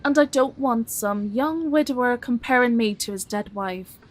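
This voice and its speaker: An actress playing a devoted but wry, teasing and reluctant grown daughter in an audio drama. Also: female voice, a little high, normal speed, lightly acted. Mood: wry, exasperated.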